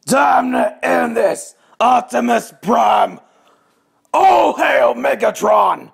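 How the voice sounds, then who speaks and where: A man voicing a huge, boastful robot brute, bellowing roughly in rage for a cartoon audition. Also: very high voice, expressive, extremely slow, shouting, exaggerated voice. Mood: raging, triumphant. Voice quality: rough, gravelly.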